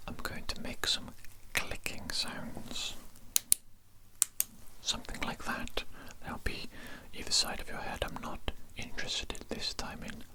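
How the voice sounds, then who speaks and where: Guy doing an evil doctor asmr, all whispered, smug and creepy controlling, repeats himself slowly. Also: close to an everyday voice. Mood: clinical, instructing. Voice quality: breathy, unvoiced whisper. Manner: slow, deliberate, repeats phrases, condescending. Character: evil male mad doctor, smug, controlling, softly menacing. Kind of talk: ASMR roleplay, whispered throughout.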